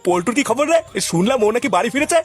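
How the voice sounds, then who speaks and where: Casting: fretful cartoon elder, extremely high voice, very rapid scolding comic delivery. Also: male voice, very animated, exaggerated voice. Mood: grumbling. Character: fussy, agitated older cartoon father or grandfather. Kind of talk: Bengali comedy cartoon dub.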